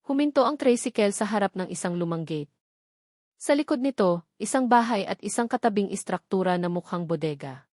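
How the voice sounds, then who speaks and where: A woman narrates a scripted story dramatically, in short, measured, suspenseful phrases. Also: on the high side, no room sound, clean studio sound.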